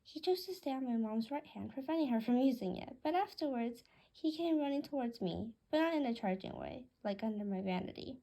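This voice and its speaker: A woman narrates a storybook aloud in a high voice with plenty of creak. Her tone is soft and mellow, with a husky, breathy edge. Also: expressive, brisk, low-quality recording. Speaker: author reading own book aloud. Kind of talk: scripted storybook narration in first person.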